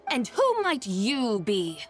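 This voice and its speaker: A woman's voice: on the high side, wildly swooping pitch, slow, soft background music, full character voice. A woman voicing an imperious, eccentric immortal lady in a video game, speaking in a haughty, formal, theatrical way. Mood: haughty, curious. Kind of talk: English video game voice acting.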